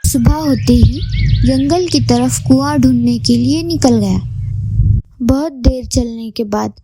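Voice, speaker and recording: A woman's voice: high-pitched, unhurried, noisy background. A woman narrating a scripted moral bedtime story for children.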